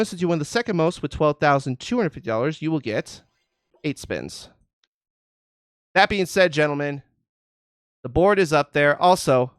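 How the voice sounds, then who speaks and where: A man hosts a game show with big announcer patter, his voice a little congested from a cold. His tone sits fairly high for a man and comes across smooth and clear. The sound is dry and close, with no room around it. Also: no echo. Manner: showy announcer patter, calls out totals and ladies and gentlemen. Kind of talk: hosting an online game show.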